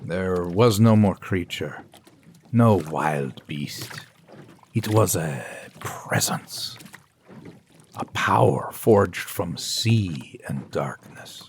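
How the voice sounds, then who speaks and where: A man's voice: low voice, animated, extremely slow, heavily acted. A man playing a brooding, awestruck professor, narrating a sea voyage in solemn, dramatic first person. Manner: solemn, dramatic, hushed intensity. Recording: noisy sea and boat ambience.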